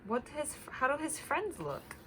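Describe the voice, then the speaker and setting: Female teacher, children's read-aloud: bright voice, animated pitch, unhurried, explains simply, slight background noise. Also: rough recording.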